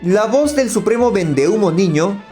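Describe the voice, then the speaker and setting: A man's voice: high-pitched, expressive, normal speed, dark tone. A man delivering scripted critical commentary in a voice-over. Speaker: video critic. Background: faint music.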